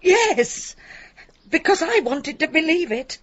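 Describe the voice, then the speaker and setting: A woman's voice: extremely high pitch, very animated, takes it slowly, lo-fi sound, exaggerated voice. An actress playing a bitter, proud, guilt-ridden middle-aged mother in a radio drama. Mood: defensive, regretful.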